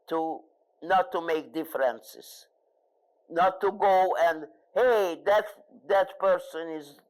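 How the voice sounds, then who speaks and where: An older woman with a Hungarian accent, a native Hungarian speaker, answering questions as a guest speaker in a live interview, pausing often and searching for words. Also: deep voice, low-quality recording. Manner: halting, searching for words with frequent fillers. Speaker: Holocaust survivor, guest speaker.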